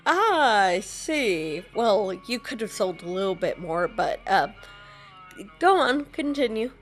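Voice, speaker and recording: A woman's voice: a little high, sing-song, takes it slowly, faint music behind. A woman playfully voicing her own character while playing a game, teasing and giggly. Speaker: gameplay narrator voicing the player character. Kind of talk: casual role-play while playing a game.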